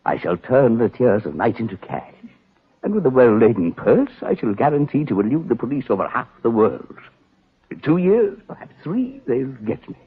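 A man's voice: medium pitch, sing-song, normal speed, close to an everyday voice. An actor playing a cultured, elderly male killer with florid, formal diction in 1940s radio drama style. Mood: suave, resigned. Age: older. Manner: florid, formal, precise speech. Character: cultured, cunning elderly male murderer, coolly courteous. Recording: narrow, phone-like vintage radio recording.

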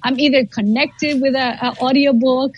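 A woman's voice: high-pitched, fairly even intonation, normal speed, highs cut off. A woman in her forties whose first language is Spanish, a guest chatting casually in an interview, often repeating words as she thinks and laughing. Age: 40s.

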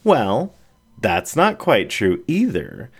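A man's voice: mid-range voice, wildly swooping pitch, takes it slowly, slightly rough, heavily acted. A man voicing a confident, playful female border collie in an audiobook reading. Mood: frank. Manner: playful, frank. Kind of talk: storybook reading.

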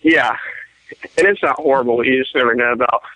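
A man's voice: medium pitch, a little fast, sharp tone, no room sound, low-quality recording. A young man from West Virginia chatting casually, loose and rambling, with many fillers. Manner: laid-back, lots of "like" and "um". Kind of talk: casual chat.

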